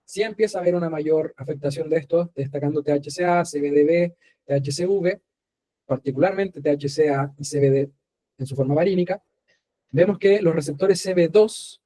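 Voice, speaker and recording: Fast-talking Chilean man lecturing. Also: on the high side, warm tone. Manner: fast, halting, with many fillers. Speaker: guest speaker. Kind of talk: online lecture presentation.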